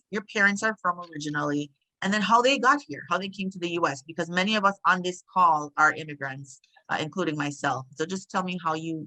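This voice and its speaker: A woman moderating an online panel by video call, introducing guests in a lively, chatty way with joking asides. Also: on the low side, no echo, poor audio.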